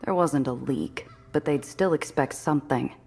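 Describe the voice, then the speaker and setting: A woman's voice: very deep voice, moderate pace, creaky, darkish tone, breathy edge, heavily acted. A woman playing a tough, guarded smuggler who narrates her own noir game story in a wry way. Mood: wary, brooding.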